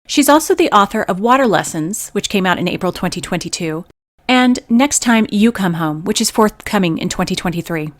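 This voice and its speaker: A woman's voice: mid-range voice, animated, a little fast. A woman hosting a radio program, reading scripted introductions and announcements.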